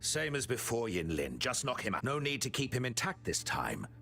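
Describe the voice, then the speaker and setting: An actor playing an obsessive, callous puppet-maker villain in a video game, theatrical, swinging between musing and menace. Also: male voice, mid-range voice, sing-song, moderate pace, vocal fry, sharp tone, soft background music, exaggerated voice. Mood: cold, commanding.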